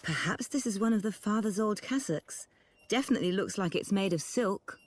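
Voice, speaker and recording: An actress playing an observant, matter-of-fact companion in a video game. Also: female voice, medium pitch, lively intonation, normal speed, close to an everyday voice. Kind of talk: video game character dialogue. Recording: music under the voice.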